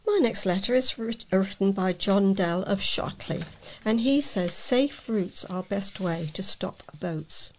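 A woman reading letters aloud as a volunteer news reader, in a measured way with the odd stumble. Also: medium pitch, darkish tone, a little hiss or hum.

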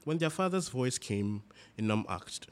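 A man's voice: mid-range voice, lively intonation, unhurried. A man reading literary fiction aloud, narration mixed with characters' dialogue. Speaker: reader.

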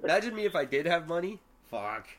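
A man's voice: fairly high pitch, sing-song, fast talker, fairly bright tone. A young man chatting casually on a podcast, brash and self-mocking, swearing freely. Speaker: podcast co-host.

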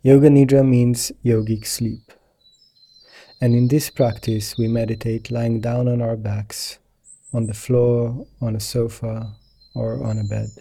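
Slow, very dark-voiced man guiding meditation. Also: mid-range voice, level tone, gravelly, slightly rough. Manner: gentle, soothing, unhurried. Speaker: meditation teacher guiding yoga nidra.

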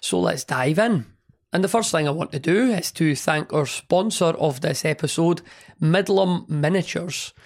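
Man, hoarse cold-worn voice, slightly high, rambling podcast chat. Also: a little slow. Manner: rambling, chatty, friendly enthusiasm. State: partly lost voice from a cold. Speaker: podcast host. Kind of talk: casual hobby podcast monologue.

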